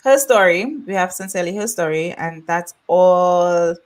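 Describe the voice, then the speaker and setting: A woman's voice: lowish voice, expressive, normal speed. Upbeat, chatty woman hosting a podcast. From Trinidad. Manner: laughs often, upbeat and chatty. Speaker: podcast host. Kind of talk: casual podcast chat.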